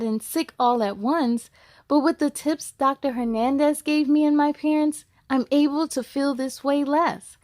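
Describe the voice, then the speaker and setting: A woman with a high voice hosts a storybook read-aloud for children. She speaks encouragingly, addressing her young listeners directly. The sound is dry, with very little room echo. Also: darkish tone. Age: adult.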